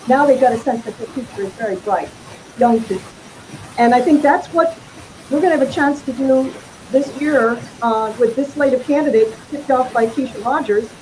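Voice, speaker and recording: A woman's voice: high voice, dark tone, a little hiss or hum, low-quality recording. Earnest woman giving a public talk. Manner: earnest, urging. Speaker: speaker at a political event. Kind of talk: impassioned public speech.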